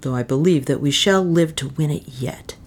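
A woman's voice: low-pitched, normal speed, darkish tone. A woman voicing a grim, stoic male adventurer in an audiobook, gloomy, cool and firm. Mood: gloomy, resolute. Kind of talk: audiobook character acting.

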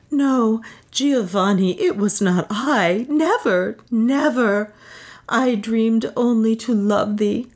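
A woman's voice: on the low side, warm tone. A woman reading a story, voicing an anguished, tender young woman who pleads in impassioned, archaic speech. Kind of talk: dramatic audiobook storytelling.

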